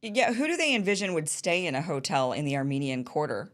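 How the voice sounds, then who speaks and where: A woman with a notably deep, low voice hosts an interview. She asks curious, quick follow-up questions, sometimes stumbling over her words. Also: very animated, fairly bright tone. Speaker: host.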